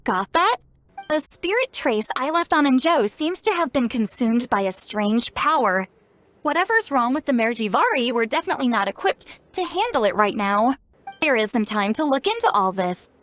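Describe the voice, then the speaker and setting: A woman's voice: high-pitched, big pitch swings, normal speed, fairly bright tone, no echo. A woman voicing a spry, youthful-sounding self-styled granny in a video game, playful, knowing and caring. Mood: caring, informative. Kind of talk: scripted video game dialogue. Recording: game music behind. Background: game music.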